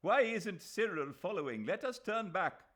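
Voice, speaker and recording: A man's voice: high-pitched, wildly swooping pitch, measured pace, a little mellow, slightly rough, voice pushed up, exaggerated voice. A male reader voicing an anguished young man who cries out in distress. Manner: crying out.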